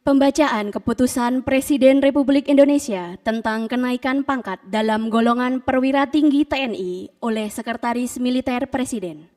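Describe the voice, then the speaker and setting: A woman announces an official state ceremony in a fairly high voice, picked up at a distance from the microphone. Her delivery is formal, solemn and measured, as scripted protocol announcements. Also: darkish tone.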